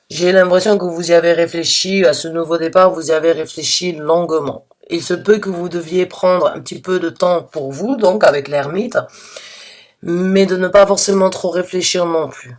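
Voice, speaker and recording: Slightly hoarse woman reading tarot. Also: fairly low pitch. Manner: hesitant, halting with many restarts and fillers; reassuring, earnest advice. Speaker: tarot reader. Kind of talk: spontaneous tarot reading addressed to viewers.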